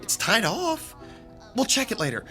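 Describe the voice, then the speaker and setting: A woman's voice: fairly high pitch, wildly swooping pitch, normal speed, a bit bright, faint music behind. A woman playing an easygoing, take-charge young theater crew member in a naturalistic radio drama.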